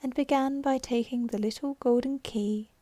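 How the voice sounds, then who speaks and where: A woman narrating a classic storybook in a soft, unhurried ASMR style, slipping into whispers now and then. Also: high-pitched, fairly even intonation, dry recording. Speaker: audiobook narrator.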